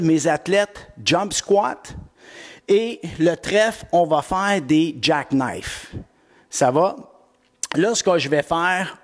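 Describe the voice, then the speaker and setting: A middle-aged man with a Québécois accent, coaching a live-streamed workout class and greeting viewers by name with lots of energy. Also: on the high side. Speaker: fitness coach.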